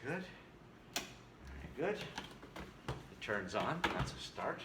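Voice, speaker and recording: A man's voice: medium pitch, big pitch swings, a little slow. A man who entertains as a touring singer and show host, chatting casually in a folksy way as in a travel vlog or between songs.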